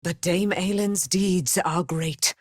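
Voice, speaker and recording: A proud immortal warrior woman for a fantasy game. Her voice sits a little low and moves very slowly, declaiming archaic phrases with grandeur and a wrathful edge. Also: fairly even intonation, no room sound, studio quality. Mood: proud, commanding. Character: proud, wrathful immortal warrior paladin, daughter of moon goddess. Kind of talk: theatrical fantasy video game acting.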